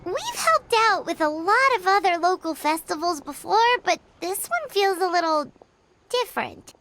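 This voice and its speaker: A woman voicing a small, chatty fairy companion girl in a video game, cutesy and childlike, with a squeaky voice. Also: sing-song, moderate pace, noisy background, heavily acted. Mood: thoughtful. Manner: cutesy, speaks of self in third person. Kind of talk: video game character dialogue. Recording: game music behind the voice.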